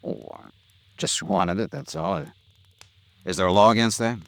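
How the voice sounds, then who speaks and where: An actor playing a wry, hard-boiled male insurance investigator, telling his case crisply and dryly in 1950s radio noir style. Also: fairly low pitch, animated, a bit bright, no room sound. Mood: evasive. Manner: crisp, dry, deliberate storytelling.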